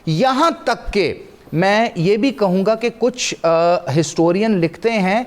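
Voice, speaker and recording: A man's voice: high-pitched, animated, slow pace. A man with a Pakistani accent giving impassioned, emphatic religious and historical commentary as a talk show guest, building his points through repetition.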